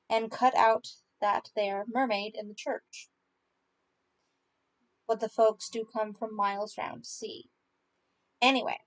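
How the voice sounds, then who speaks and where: A woman hosting a story podcast, reading folk tales aloud with informal asides and stumbling haltingly over unfamiliar words. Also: on the high side, low-quality recording. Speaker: podcast host and story reader.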